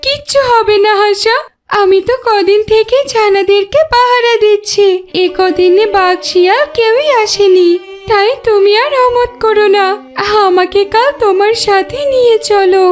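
A woman's voice: extremely high pitch, a little slow. A woman voicing an anxious, determined mother duck in a children's cartoon, pleading and insistent.

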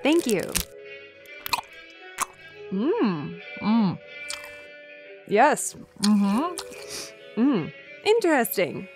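A woman's voice: fairly high pitch, very animated, drawn-out words, pauses often, exaggerated voice. A woman playing a self-conscious, defensive grocery shopper who over-explains. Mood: feigned nonchalance. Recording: faint music behind.

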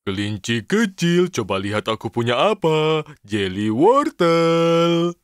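A man's voice: a little high, big pitch swings, slow pace, slight background noise. An actor voicing an impatient, childlike male cartoon character in an Indonesian children's dub, with an eager, dramatic, exclamatory manner. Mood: excited.

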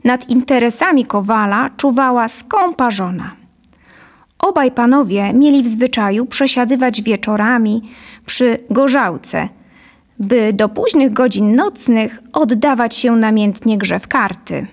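A woman's voice: fairly high pitch, a little slow. A woman in her thirties reading a scripted story as narrator, close to a studio microphone. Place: dark small studio, indoors. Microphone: studio condenser on boom, close.

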